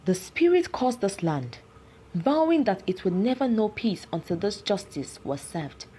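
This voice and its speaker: An anguished, cursed villager played by a woman storyteller pleads his case in a folktale. He is grave and vengeful, speaking slowly in a mellow tone with dramatic swings of pitch. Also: sing-song, slight background noise. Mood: sorrowful, bitter.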